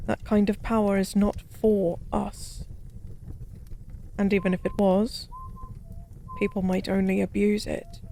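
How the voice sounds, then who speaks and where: A woman playing a forgotten goddess as a tipsy pub stranger in an intimate audio roleplay, speaking softly in slow, wistful philosophical rambles. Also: mid-range voice, big pitch swings, vocal fry, a little hiss or hum. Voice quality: soft. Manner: slow, musing, rambling, teasingly cryptic.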